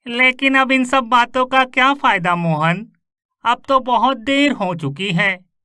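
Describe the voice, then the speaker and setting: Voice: mid-range voice, unhurried, pushed and bright, clean tone, no room sound, clean studio sound. An actor voicing a greedy, scheming male swindler in a cartoon story, with a gloating, sneering delivery. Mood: gloating, menacing.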